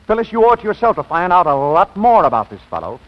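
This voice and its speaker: A man's voice: sits high, big pitch swings, fast. A man playing a hot-tempered, exasperated businessman in a 1940s radio comedy, speaking with indignant emphasis. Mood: suspicious, irritated. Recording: muffled, hissy old radio recording.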